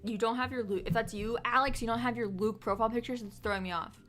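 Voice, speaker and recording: A woman in her twenties chatting on a livestream, telling excited stories in a casual, rambling way. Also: a little high, fast, faint music behind. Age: 20s.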